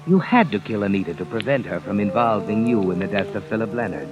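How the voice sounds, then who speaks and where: An actor playing an ominous, knowing narrator in 1950s radio suspense, dramatic and sardonic. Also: male voice, on the low side, animated, fast talker, close to an everyday voice. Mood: ominous, urgent. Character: ominous, knowing male narrator addressing the killer. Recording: muffled vintage radio recording with music.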